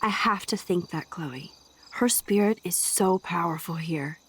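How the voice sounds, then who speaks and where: A young American woman playing an earnest, cautious teenage girl in a video game, speaking softly. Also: lowish voice, a little slow, husky. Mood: earnest, reassuring. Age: young adult.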